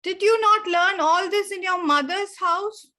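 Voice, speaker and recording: A woman's voice: extremely high pitch, unhurried, sharp tone, voice pushed up, heavily acted. A woman storyteller voicing her strict, disciplined older mother-in-law in a stern, scolding tone.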